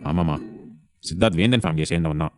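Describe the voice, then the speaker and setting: A man's voice: sits low, fast talker, darkish tone, pitched below the performer's own voice, lightly acted. A man voicing a stern, protective grandfather in an audio drama, emphatic and authoritative. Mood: firm, reassuring. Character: stern, protective grandfather, indignant family elder. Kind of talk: audio serial drama acting.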